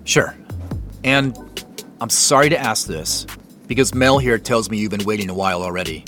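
A man's voice: lowish voice, moderate pace, fairly bright tone, soft background music, natural voice. An actor playing a polite, understated man in naturalistic audio drama dialogue. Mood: apologetic, polite.

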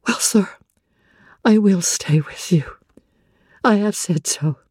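A woman's voice: mid-range voice, takes it slowly, darkish tone, breathy edge, no echo, studio quality. A woman playing a devoted, independent young woman, earnest and composed, in a classic novel reading. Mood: calm, dutiful.

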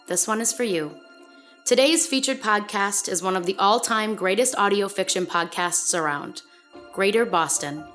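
A woman's voice: medium pitch, a bit bright. A woman giving a scripted podcast introduction as the show's producer. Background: music.

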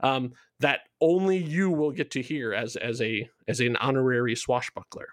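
A man's voice: medium pitch, moderate pace, no room sound, clean studio sound. A man talking enthusiastically in a casual promotional podcast chat, explaining things in a rambling way as a show's creator. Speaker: show creator being interviewed.

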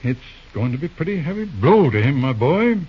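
An actor playing a patient, shrewd older bachelor lawyer uncle in an old-time radio drama, reasoning in an avuncular, hemming and hawing way. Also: male voice, medium pitch, sing-song, fairly quick, slightly rough. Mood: wry, prodding. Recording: muffled old radio show recording.

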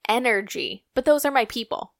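A woman's voice: on the high side, big pitch swings, moderate pace, gravelly, no room sound, studio quality. A young woman co-hosting a podcast in casual, gushing chat, laughing often. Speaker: podcast co-host.

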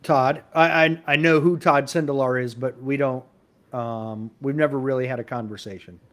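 A man's voice: medium pitch, fairly even intonation, moderate pace, fairly bright tone. A man in his fifties with an American accent, chatting casually. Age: 50s. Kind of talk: casual chat.

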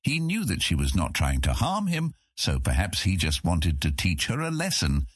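A man's voice: deep voice, wildly swooping pitch, moderate pace, slightly rough, no room sound, studio quality. A man narrating a fantasy audiobook in a dramatic, scripted style.

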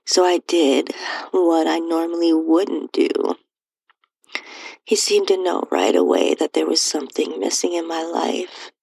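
A woman with a breathy, husky voice reading a first-person erotic story in a soft, intimate, near-whispered delivery. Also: deep voice, lively intonation, unhurried, no room sound, rough recording. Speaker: podcast host narrating own stories.

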